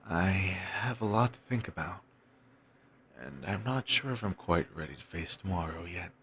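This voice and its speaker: A man playing a wistful, self-doubting wandering bard in an intimate audio roleplay, musing in courteous, formal phrases with thoughtful pauses and a gravelly, husky voice. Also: deep voice, a bit bright, a little hiss or hum, full character voice. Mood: courteous, pensive. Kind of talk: intimate one-sided audio roleplay acting.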